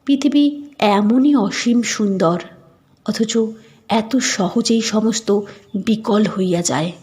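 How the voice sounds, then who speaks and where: A woman reading scripted literary narration as a storyteller, measured and expressive. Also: mid-range voice.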